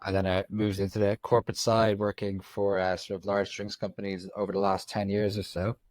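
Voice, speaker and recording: A man in his forties with an Irish accent, from Ireland, telling his story as a podcast guest in a reflective, halting way. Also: on the low side, flat delivery, darkish tone, dry recording. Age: 40s. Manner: reflective, frequent ums and restarts. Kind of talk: candid conversational storytelling.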